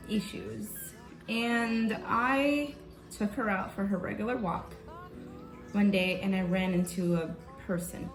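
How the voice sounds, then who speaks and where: A woman's voice: mid-range voice, reverberant. A young woman from the South Bronx, telling a personal story in a casual, candid vlog and swearing now and then. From South Bronx, New York. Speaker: vlogger.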